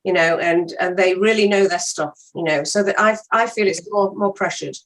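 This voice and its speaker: A woman's voice: lowish voice, normal speed, a bit bright, low-quality recording. An older woman with a British accent, a guest actor talking casually in an interview.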